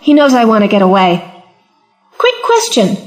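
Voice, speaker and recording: A woman's voice: fairly high pitch, huge pitch swings, normal speed, faint music behind, low-quality recording. A woman playing a flippant, impatient time-travelling companion with a breezy, wry manner in an audio drama.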